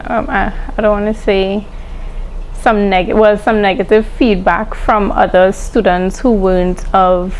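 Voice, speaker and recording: A woman's voice: medium pitch, flat delivery, measured pace, a little hiss or hum, low-quality recording. Composed Caribbean-born woman. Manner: composed and articulate, with some careful hedging. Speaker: interviewee. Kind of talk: personal interview recollection.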